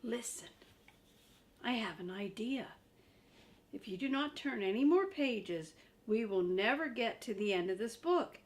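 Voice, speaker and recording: A woman's voice: a little high, lively intonation, unhurried, pauses often. A woman voicing a lovable, frightened furry monster from a storybook, pleading emphatically and theatrically. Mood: scared, pleading.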